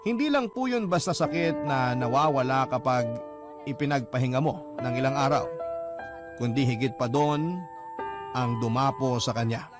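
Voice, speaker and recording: A man's voice: mid-range voice, expressive, moderate pace, music in the background. A man narrating his own love story in the first person, in an earnest, confessional tone with polite address. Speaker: letter-sender narrator.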